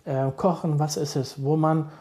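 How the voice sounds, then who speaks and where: A middle-aged man, a social worker, answering interview questions calmly and thoughtfully, often pausing to search for words. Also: a little high. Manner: calm, measured, searching for words with many fillers. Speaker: social worker advising fathers.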